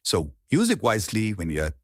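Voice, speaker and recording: A man's voice: lowish voice, wildly swooping pitch, moderate pace, slightly rough, dry recording, clean studio sound. A man speaking as a coach, giving an informal, explanatory lesson on public speaking.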